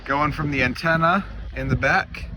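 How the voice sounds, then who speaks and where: A man's voice: a little high, moderate pace. Casual guy vlogging over noisy outdoor wind. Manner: informal, conversational, thinking aloud. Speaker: off-road vehicle vlogger. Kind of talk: casual how-to walkthrough of a vehicle install. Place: in and around a parked truck outdoors. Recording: wind and outdoor vehicle noise. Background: wind, outdoor and vehicle noise.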